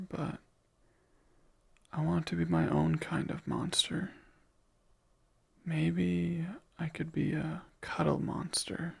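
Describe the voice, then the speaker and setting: Guy playing a clingy sleep paralysis demon, super gravelly and husky, whispers real slow. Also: on the high side, exaggerated voice. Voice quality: whispery, breathy. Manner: slow, soft-spoken, wistful musing. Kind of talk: ASMR roleplay.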